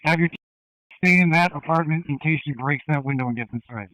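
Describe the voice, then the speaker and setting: A man working as a tactical police officer, speaking in measured, procedural phrases over police radio. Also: on the high side, a bit bright, no echo, low-quality recording.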